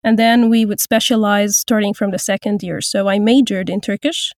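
A woman in her thirties from Egypt, a voice actor and language teacher, speaking thoughtfully with frequent pauses and restarts in an interview. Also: medium pitch, steady tone, no echo, clean studio sound. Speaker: guest, voice actor and Turkish teacher. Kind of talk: casual interview.

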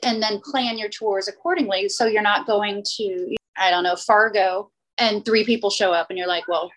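A woman's voice: medium pitch, fairly bright tone, low-quality recording. Chatty woman podcast co-host. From southern United States. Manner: chatty, rambling, quick laughs. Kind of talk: casual chat.

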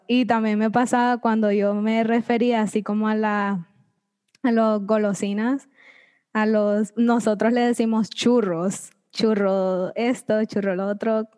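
A young woman sharing anecdotes in a relaxed group conversation. Her voice is somewhat high and mellow, and she tells her stories with easy self-amusement. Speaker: podcast guest, student. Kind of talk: casual group chat.

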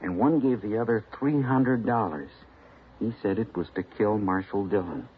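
A man's voice: fairly low pitch, moderate pace, lightly acted. An actor playing an odd, fussy traveling whiskey salesman in a 1950s radio drama, talking in a rambling, nervous, oddly matter-of-fact way. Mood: uneasy. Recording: tinny old radio broadcast, faint hiss.

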